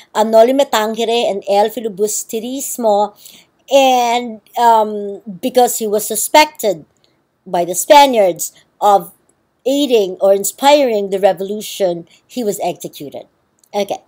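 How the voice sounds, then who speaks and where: A woman's voice: fairly high pitch, a little mellow. A woman with a Filipino accent giving a university lecture, explaining with frequent ums and checking okays. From Philippines.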